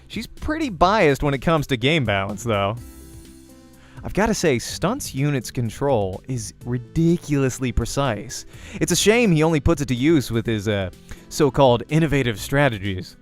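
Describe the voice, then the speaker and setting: Guy doing a let's play read of a visual novel, mid voice, soft music behind. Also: mid-range voice, very animated, normal speed. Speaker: let's-player reading a visual novel aloud. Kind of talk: expressive narration of a game story.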